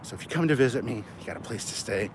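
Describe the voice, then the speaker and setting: A man's voice: medium pitch, expressive, racing. A man livestreaming casually as he walks outdoors, talking in a rambling, joking, sweary way. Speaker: livestream host. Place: outdoors, walking along city streets near traffic. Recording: noisy outdoor street with passing cars.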